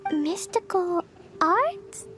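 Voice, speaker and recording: Squeaky, bouncy little girl. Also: animated, very slow, a bit bright, slight background noise, heavily acted. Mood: puzzled, innocent. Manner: childlike, simple phrasing, refers to herself by name. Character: tiny, excitable, innocent little girl, playful and naive. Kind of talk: video game voice acting.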